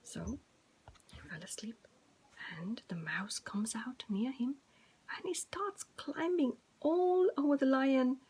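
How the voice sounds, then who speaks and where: Husky, higher-voiced woman telling children's stories. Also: a little mellow. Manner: simple words, repetitive, addressing young listeners. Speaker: storyteller for preschool children. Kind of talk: children's storytelling, informal retelling.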